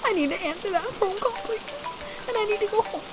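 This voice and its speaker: High-voiced pleading young woman, acted by a woman, in rain. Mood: anxious, urgent. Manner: pleading, halting, repeating herself. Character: distressed, pleading young woman, frightened yet apologetic. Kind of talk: intimate audio roleplay acting. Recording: steady rain noise over the voice.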